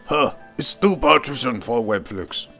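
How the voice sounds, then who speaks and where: Creaky, deadpan samurai assassin, put on by a man. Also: mid-range voice, a little slow, music in the background. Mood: deadpan, evasive.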